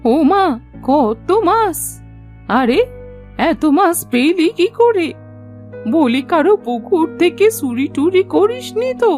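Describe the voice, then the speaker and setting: A woman's voice: very high-pitched, big pitch swings, slow, darkish tone, faint music behind, heavily acted. A woman voicing a fretful, scolding old village grandmother in a cartoon story. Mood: scolding, surprised. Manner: scolding, worried, dramatic. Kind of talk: Bengali cartoon story acting.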